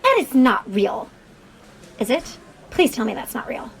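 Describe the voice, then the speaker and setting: Young woman, high pitch, earnest, wary, questioning, hesitant, audio drama dialogue. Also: very animated, a little slow. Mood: squeamish, wary.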